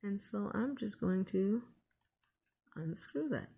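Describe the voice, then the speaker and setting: A woman's voice: mid-range voice, animated, takes it slowly, creaky, warm tone, slightly rough. A woman hosting a casual hands-on DIY tutorial, thinking aloud in an unscripted way as she works. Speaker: DIY video host.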